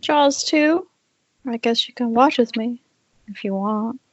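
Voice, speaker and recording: A woman acts out a sulky, sarcastic young boy in a drama table read. The voice is fairly high and comes through a dull, lo-fi call. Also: takes it slowly. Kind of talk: amateur screenplay table read.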